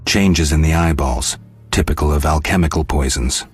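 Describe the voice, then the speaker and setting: A man voicing a stoic, gruff monster hunter in a video game, terse, deadpan and sardonic. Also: a bass voice, moderate pace, soft background music, exaggerated voice. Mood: skeptical.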